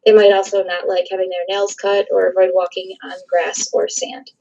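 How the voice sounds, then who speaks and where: A young woman from the Chicago suburbs reading a prepared class presentation over a video call, steady, with frequent ums and small restarts. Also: medium pitch, moderate pace, vocal fry, highs cut off. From northern suburbs of Chicago. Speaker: student presenter. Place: small room indoors. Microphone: laptop webcam on a video call.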